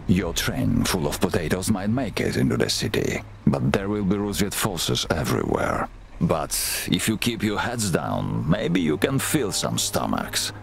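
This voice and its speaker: An actor playing a stern, dutiful army commander in a video game, speaking formally and with indignant authority. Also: male voice, low-pitched, very animated, normal speed, vocal fry, background noise, heavily acted. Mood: grudging. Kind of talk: dramatic video game voice acting.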